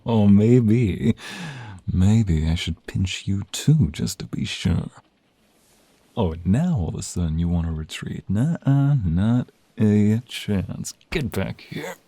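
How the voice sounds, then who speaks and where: A man performing an ASMR romantic roleplay as an affectionate, teasing mobster husband and young father, in a breathy, husky voice with intimate, drawn-out delivery. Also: lowish voice, lively intonation, mellow, no echo, heavily acted. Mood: playful, teasing. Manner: intimate, drawn-out, playful delivery with sighs and murmurs.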